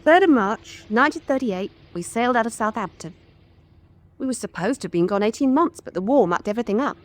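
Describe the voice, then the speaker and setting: Chatty young English woman played by an actress, high-voiced. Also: lively intonation, fairly quick, natural voice. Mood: open, chatty. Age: 20s. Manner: colloquial, artless, chatty. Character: chatty, plain-spoken young British survivor, twenty-three. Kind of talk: radio drama acting.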